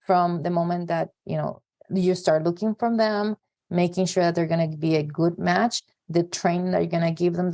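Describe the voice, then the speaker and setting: A woman's voice: fairly low pitch, vocal fry, darkish tone. A woman hosting a podcast interview, speaking conversationally in long, meandering sentences. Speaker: podcast host.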